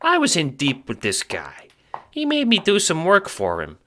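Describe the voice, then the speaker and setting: A man voicing a cheerful, airheaded little minion creature in an audio drama, excitable, slangy and boyish. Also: mid-range voice, normal speed, a little hiss or hum, voice pushed up. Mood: earnest, chatty. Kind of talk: fan audio drama character acting.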